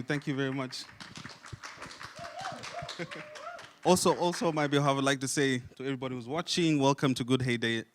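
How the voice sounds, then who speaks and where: A man opens and steers an online panel. His voice sits in a middle register, and he speaks in a friendly, unhurried way, stopping often on fillers. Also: mid-range voice, fairly bright tone. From Finland. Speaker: panel host. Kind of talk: virtual panel moderation.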